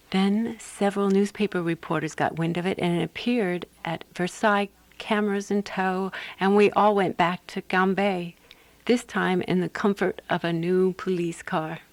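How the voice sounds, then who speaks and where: A woman actor playing a composed, wry woman who narrates a radio drama in a measured, dry manner. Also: fairly low pitch, no room sound, natural voice.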